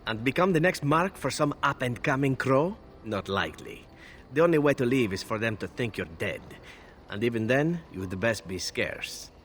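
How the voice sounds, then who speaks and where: An actor playing a flirty, roguish elf assassin in a video game, talking in a suave, wry, theatrical way. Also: male voice, medium pitch, big pitch swings, normal speed, fairly bright tone, slight background noise. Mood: wry, nonchalant. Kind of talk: video game character acting.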